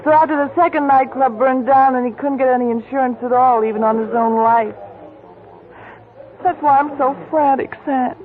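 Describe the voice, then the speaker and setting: A woman's voice: high voice, fairly even intonation, moderate pace, natural voice. An actress playing a chatty, flighty nightclub woman who rambles on in an old radio drama. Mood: chattering. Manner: rambling, gushing. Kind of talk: 1940s radio acting. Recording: tinny old radio broadcast.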